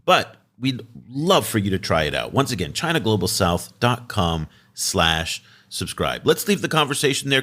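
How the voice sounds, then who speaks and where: A man hosting a podcast, casually and enthusiastically pitching the show's subscription to listeners. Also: sharp tone. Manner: enthusiastic, conversational sales pitch. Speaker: podcast host.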